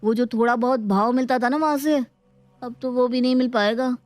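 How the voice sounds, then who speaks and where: Woman playing young male buddy, cheeky, chummy, highish voice, story character, music behind. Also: steady tone, brisk, a little mellow, no echo, natural voice. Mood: cheeky teasing. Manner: teasing, chummy.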